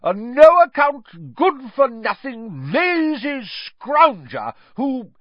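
A man doing a shrill, drunken, bullying aunt. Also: very animated, unhurried, no room sound, muffled recording, heavily acted. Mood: furious. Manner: sneering, shrill and contemptuous. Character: loud drunk bullying middle-aged woman.

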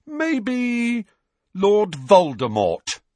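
A man voicing a hot-tempered teenage schoolboy in an audiobook, loud, defiant and sarcastic. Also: sits high, huge pitch swings, drawn-out words, no echo, pitched above the performer's own voice, full character voice. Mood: mock thoughtful. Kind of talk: audiobook character acting.

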